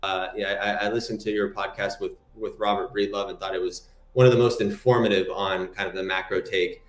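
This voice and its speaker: A man from Morocco interviewing a guest, speaking in a measured, thoughtful way with many small restarts. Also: fairly low pitch, level tone, roomy sound. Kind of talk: interview conversation.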